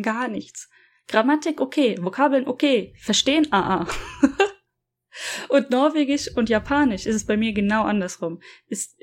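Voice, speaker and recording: A young woman in casual conversation who laughs often, swears freely and often restarts her sentences. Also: fairly high pitch. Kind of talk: casual chat. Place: lives in Japan.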